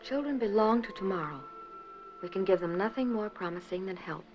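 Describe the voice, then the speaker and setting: 1950s woman documentary narrator, dull film sound. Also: medium pitch. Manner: earnest, measured, rhetorical. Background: background music.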